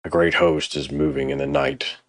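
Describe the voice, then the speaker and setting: A grave Norse war leader, acted by a man in an audio drama. He speaks extremely low with a gravelly edge. His delivery is solemn and resolute, recorded dry. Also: steady tone, normal speed, dry recording. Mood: grim. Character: grave, steadfast male Norse warrior leader.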